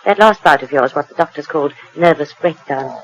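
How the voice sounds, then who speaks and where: An actress with a British accent playing a proud, bitter wronged wife in a 1940s radio drama, crisp, controlled and cutting. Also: female voice, low-pitched, a little fast, lightly acted. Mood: bitter contempt. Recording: noisy 1940s radio recording.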